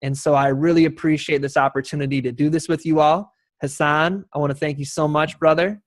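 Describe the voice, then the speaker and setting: A man with a fairly high voice hosts an online town hall. He speaks courteously to his guests and the audience, in a grateful, devout manner. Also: fairly even intonation, fairly quick, studio quality. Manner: courteous, thankful, devout phrasing. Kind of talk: live online panel hosting, addressing guests and audience.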